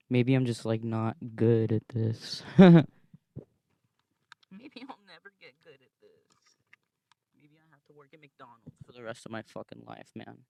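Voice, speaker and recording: A young man talks unhurriedly into his phone from the driver's seat, his voice thin and light on bass. He rambles loosely, full of fillers and curses. The sound is dry and close, with no room around it. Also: gravelly, darkish tone, dry recording. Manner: rambling, profane, laid-back with frequent fillers. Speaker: podcast host. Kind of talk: casual solo podcast talk. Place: inside his car. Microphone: phone recording.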